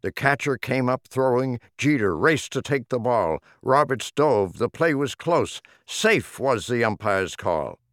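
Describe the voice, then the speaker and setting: A man's voice: medium pitch, lively intonation, a little slow, hardly any pauses, no echo, clean studio sound. A man reading humorous rhyming poems as an audiobook narrator, with a theatrical, rhythmic delivery. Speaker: audiobook reader.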